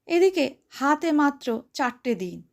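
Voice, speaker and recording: মহিলার গলায় মুখরা, দাপুটে, উঁচু গলার গিন্নি. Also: female voice, sits high, big pitch swings, slow pace, gravelly, full character voice. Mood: impatient, commanding. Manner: brash, scolding, colloquial. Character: bossy, stout, sharp-tongued older woman, scolding affectionately.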